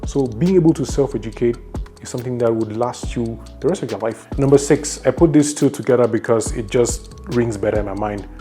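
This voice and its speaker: A man's voice: medium pitch, a little fast, warm tone, faint music behind. A young adult man from Cameroon with a Cameroonian accent, giving numbered advice in a casual, conversational vlog. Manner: enthusiastic, conversational, lists numbered tips. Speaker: YouTuber.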